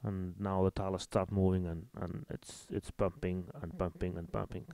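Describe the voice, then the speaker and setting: A man's voice: low-pitched, level tone, normal speed, gravelly, mellow. A man with an Icelandic accent giving match commentary on a tabletop miniatures game.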